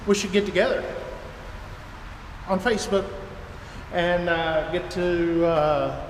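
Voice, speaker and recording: A man's voice: high voice, steady tone, slow. An American man in his thirties, a trucker talking casually to camera in a rambling, folksy way. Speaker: trucker and video blogger. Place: inside an empty truck trailer, door open. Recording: echoey metal trailer, clear background noise.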